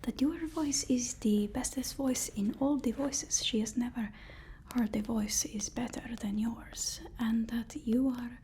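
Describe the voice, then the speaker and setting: A woman whispering an ASMR roleplay as a deferential, flattering personal assistant, often repeating her words softly and hesitantly. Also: on the high side, a little slow, a little hiss or hum. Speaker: ASMR performer.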